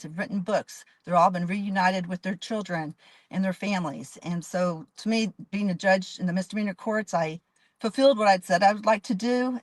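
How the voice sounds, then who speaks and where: A woman's voice: lowish voice, no echo. A woman in her fifties from Dallas, a judge running for office, giving earnest, detailed interview answers with frequent restarts. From Dallas, Texas. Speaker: judge and candidate.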